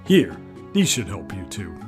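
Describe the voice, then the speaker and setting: A man's voice: deep voice, big pitch swings, unhurried, over music. A man playing an earnest, awestruck villager and father in an audio drama. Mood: welcoming.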